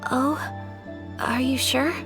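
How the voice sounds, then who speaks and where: A woman playing a gracious, modest young noblewoman in a video game, speaking politely and formally in measured phrases. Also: high voice, fairly even intonation, music in the background. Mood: gentle, curious.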